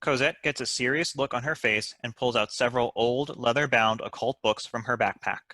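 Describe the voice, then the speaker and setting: A man's voice: mid-range voice, brisk, sharp tone, dry recording. A man reading stage directions as scripted narration for a table read.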